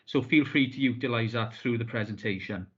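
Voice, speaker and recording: A man's voice: medium pitch, a little fast, husky. A man, a business support officer, giving a formal welcome to a webinar over a video call, with many ums and uhs. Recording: muffled video call audio.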